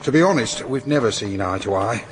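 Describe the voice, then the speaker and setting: An actor playing an opinionated male university lecturer, articulate, measured and slightly catty, in a radio drama. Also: on the low side, animated, background noise, natural voice. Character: opinionated male Oxford academic from Yorkshire. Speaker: university lecturer being questioned. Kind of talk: naturalistic radio drama acting.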